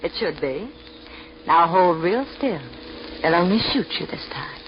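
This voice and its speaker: A woman's voice: fairly low pitch, animated, a little slow. A woman playing a sultry, dangerous femme fatale in a 1940s radio noir, teasing and coolly menacing. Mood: cool menace. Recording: muffled, faintly noisy old radio recording.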